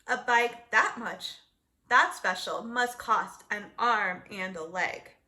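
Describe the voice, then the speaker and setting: A practical storybook mother played by a woman reader, sensible and gently instructive. Her speech is measured and bright in tone. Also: medium pitch. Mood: practical, gentle. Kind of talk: children's storybook read-aloud.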